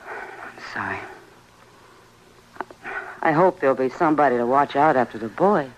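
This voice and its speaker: An American actress playing a warm, teasing frontier saloon woman, the marshal's confidante, in a 1950s radio western. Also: rumbling low, lively intonation, moderate pace. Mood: sympathetic. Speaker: supporting actress. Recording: noisy old radio broadcast recording.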